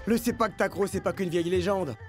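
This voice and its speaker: Cartoon sports enthusiast, male actor: proud, keen, high voice, light music behind. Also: a little fast, close to an everyday voice. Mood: excited, proud. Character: enthusiastic, boastful male sepak takraw fan. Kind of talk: animated cartoon dub acting.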